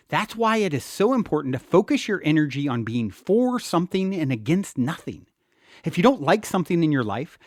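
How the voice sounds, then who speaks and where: Man reading with lively, swooping pitch. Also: no echo, clean studio sound. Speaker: author reading own audiobook. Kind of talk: scripted self-help audiobook narration.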